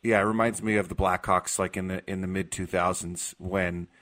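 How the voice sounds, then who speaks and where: A man with a fairly deep American voice hosts a sports talk show. He speaks casually and informally, with open enthusiasm for what he is discussing. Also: steady tone, normal speed, fairly bright tone. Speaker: sports radio host.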